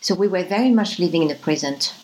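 This is a woman in her fifties with a notably low voice, a native French speaker. She recounts her upbringing as an interview guest, reflective and sincere, often opening her sentences with "so". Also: animated, fast, darkish tone, slight background noise, poor audio. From France. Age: 50s. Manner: starts many sentences with "so", earnest and reflective. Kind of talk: reflective personal storytelling.

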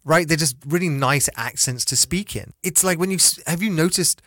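A man telling stories in a relaxed casual chat, full of "like" and "you know". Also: fairly high pitch, moderate pace, no echo, studio quality.

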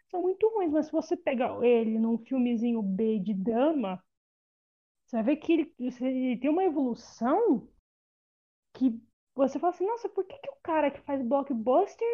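A fairly high-voiced woman gives her opinion on film acting in a loose, chatty way, restarting her sentences often. The sound is dull and lo-fi, like a remote call. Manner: opinionated, talks it through with many restarts. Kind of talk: casual film review chat.

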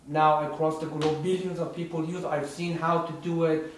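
A man from Iran, co-hosting a casual podcast chat in an earnest, measured way with frequent fillers. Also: on the high side, flat delivery, a little hiss or hum. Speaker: podcast co-host. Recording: very echoey room, distant mic.

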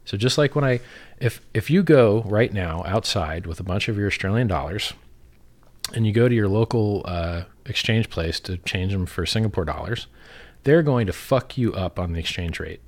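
A man's voice: fairly low pitch, lively intonation, normal speed. A man giving an opinionated, casual explanation, blunt and emphatic, repeating himself and swearing freely.

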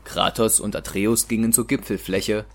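A man's voice: fairly low pitch, fairly even intonation, normal speed. A man reading scripted narration for a fantasy audio drama as its narrator.

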